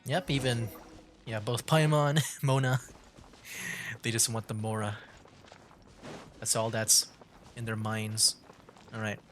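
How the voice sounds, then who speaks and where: A man's voice: fairly low pitch, level tone, slow pace, long pauses, a little hiss or hum. A man streaming a video game, chatty and laughing often in casual commentary.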